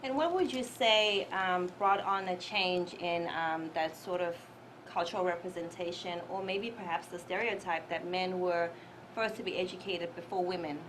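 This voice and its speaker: A woman's voice: on the low side, normal speed, a bit bright, low-quality recording. A woman hosting an interview show, asking long, formal questions politely. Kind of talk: hosted interview. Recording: recording with clear background noise.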